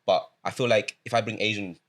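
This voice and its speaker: Fast-talking low-voiced young English man. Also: fairly even intonation, fairly bright tone. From England. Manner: laid-back, storytelling, teasing. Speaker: podcast co-host. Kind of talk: casual chat.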